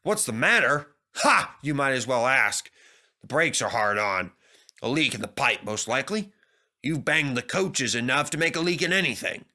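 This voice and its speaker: Man as a blunt, forceful train driver. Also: mid-range voice, big pitch swings, normal speed. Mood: exasperated. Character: gruff, exasperated male train driver.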